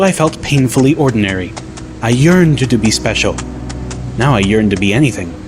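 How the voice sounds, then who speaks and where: A man reading scripted first-person story narration as an audiobook narrator. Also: medium pitch. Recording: loud music bed under narration. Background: music.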